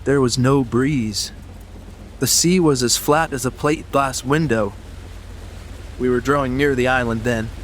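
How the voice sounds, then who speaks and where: A man performs an earnest, superstitious traveler in an audio drama, brooding and a little hesitant. His voice sits at a middle pitch over faint background ambience. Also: fairly even intonation, a little slow, long pauses, slight background noise, natural voice. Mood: grave, haunted. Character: uneasy, superstitious yachting companion, adult man, earnest.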